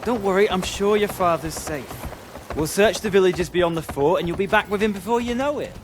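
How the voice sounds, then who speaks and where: A man's voice: high-pitched, a little fast, noisy background, close to an everyday voice. An actor playing a young male hero who reassures his companion with dry humor. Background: game score music.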